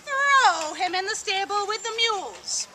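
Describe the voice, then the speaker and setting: A woman voicing a greedy, scornful king who mocks loudly, over loud background noise. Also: very high voice, expressive, unhurried. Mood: mocking. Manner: mocking, then panicked and pleading.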